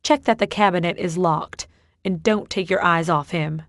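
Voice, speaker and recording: A woman's voice: fairly low pitch, moderate pace, no room sound, clean studio sound, close to an everyday voice. A woman voicing a stern, no-nonsense woman who runs a library and gives orders bluntly. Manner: blunt, commanding.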